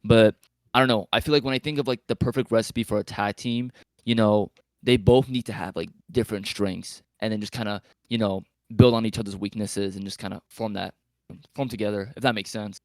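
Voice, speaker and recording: Male podcast panelist, lowish pitch, dry close sound, casual, tentative, hedging. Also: steady tone, brisk, no room sound. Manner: tentative, hedging, heavy use of "like". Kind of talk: casual chat.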